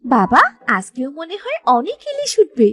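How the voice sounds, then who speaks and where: Woman as a high-voiced, earnest young daughter. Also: wildly swooping pitch, moderate pace. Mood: excited. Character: principled young fisherman's daughter, devoted to father.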